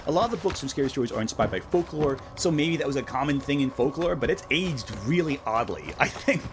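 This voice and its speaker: A man's voice: on the high side, expressive, quick pace, background noise. A man delivering scripted video-essay narration with casual, wry, incredulous asides.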